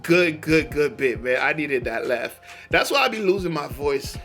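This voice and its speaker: A young man hosts a casual reaction video, chatting in loose slang and laughing often. His voice is high and bright, a little worn from so much laughing, and faint music plays underneath. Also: animated, moderate pace. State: losing his voice.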